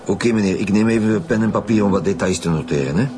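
Actor as a low, gruff Flemish chief inspector. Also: male voice, fast, noisy background, close to an everyday voice. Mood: businesslike. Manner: businesslike, blunt. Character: brisk, gruff male chief inspector leading homicide detectives. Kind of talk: naturalistic Flemish radio drama acting.

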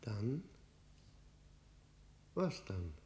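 A man acting an embittered, haunted prisoner in a German radio play, speaking in a brooding, literary, halting manner with heavy pauses. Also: lowish voice, big pitch swings, darkish tone, a little hiss or hum, heavily acted. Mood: tense, probing. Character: embittered long-term prisoner, haunted and disgusted, confiding.

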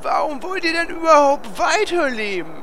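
A woman's voice: high-pitched, sing-song, moderate pace, fairly bright tone, full character voice. A woman playing a naive, polite young adventurer in a fantasy parody audio drama, with a hesitant manner. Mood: puzzled, uneasy. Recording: background noise.

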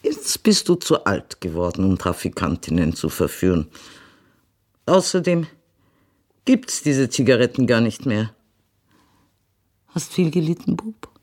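An actress playing an elderly, dignified and fond mother in a German radio play, with halting pauses and old-fashioned diction. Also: a bass voice, big pitch swings, a little mellow, full character voice. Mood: gently probing.

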